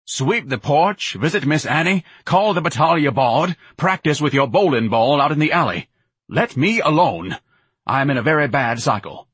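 A man's voice: mid-range voice, expressive, moderate pace, fairly bright tone, breathy edge, no echo, pitched above the performer's own voice. A man voicing a pompous, bombastic, indignant grown son who declaims haughtily and theatrically in an audiobook. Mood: irritable, imperious.

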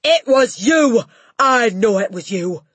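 A woman's voice: fairly high pitch, expressive, slow pace, breathy edge, no room sound, pitched above the performer's own voice, exaggerated voice. A woman voicing a furious, bullying giant of a headmistress who roars at her class. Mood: furious roaring. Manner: roaring, shouting.

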